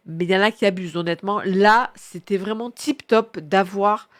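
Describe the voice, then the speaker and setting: Casting: woman, mid-pitched, sniffly with a cold, chatty and enthusiastic book reviewer who rambles in slang. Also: normal speed. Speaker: book reviewer presenting her reading picks. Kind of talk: casual chatty book recommendation monologue.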